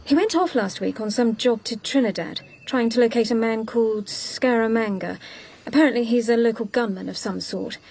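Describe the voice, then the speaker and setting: A capable secretary to a spy in a radio drama, with a fairly high voice. She is brisk and matter-of-fact, efficient and a touch prim. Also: gravelly, a little mellow. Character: brisk, efficient, slightly prim female secretary-assistant agent.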